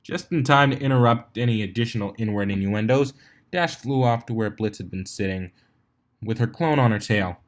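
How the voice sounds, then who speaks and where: A man reads fan fiction aloud in a medium-pitched voice. He narrates from the text and sometimes trips over a phrase and reads it again. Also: normal speed. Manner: stumbles and rereads phrases. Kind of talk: scripted story narration with character dialogue.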